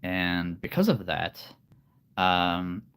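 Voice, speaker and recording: A man with a very deep voice chatting casually on a live stream, relaxed and rambling. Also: very slow, clean tone. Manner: relaxed, rambling, laughs often. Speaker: live streamer and hobby voice actor.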